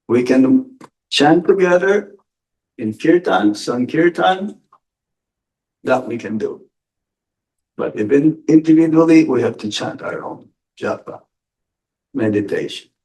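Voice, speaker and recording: A man, a monk, answering audience questions in a spiritual lecture, speaking deliberately and with emphasis. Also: a little high, lively intonation, a little mellow, recorded from a distance, rough recording. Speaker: monk giving a spiritual talk.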